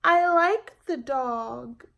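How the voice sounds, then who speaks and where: A little storybook girl, acted out by a woman reader, pitched high. She sounds earnest and a little plaintive, as a child character in a picture book read aloud. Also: drawn-out words, vocal fry, fairly bright tone, full character voice. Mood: plaintive, reluctant.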